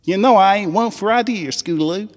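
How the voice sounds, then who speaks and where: Man voicing an eager high-pitched Southern filly. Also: fairly quick, big-room sound, lightly acted. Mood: wry. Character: spirited young country filly schemer, female pony child.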